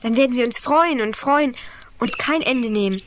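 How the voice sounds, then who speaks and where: An earnest, devout child played by a female actor with a high voice, patiently explaining in a children's radio play. Clear birdsong and noise sit behind the voice. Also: moderate pace, lightly acted.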